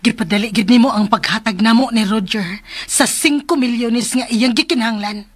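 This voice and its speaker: A woman's voice: a little high, normal speed, dry recording, natural voice. A woman acting in a radio drama as a scheming, vengeful villainess, delivering menacing monologues. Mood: gloating menace. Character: scheming, vengeful villainess plotting with hired goons.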